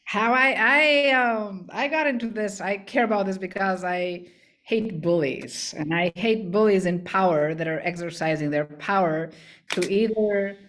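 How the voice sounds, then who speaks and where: Middle-aged woman, emphatic and animated, panel guest. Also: mid-range voice, measured pace. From Bulgaria.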